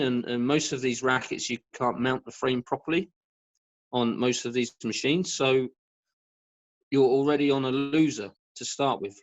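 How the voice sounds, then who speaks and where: A man speaking as an expert guest in an informal discussion on a video call, halting and repeating words for emphasis. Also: medium pitch. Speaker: guest expert, professional badminton racket stringer. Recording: dull lo-fi video call audio.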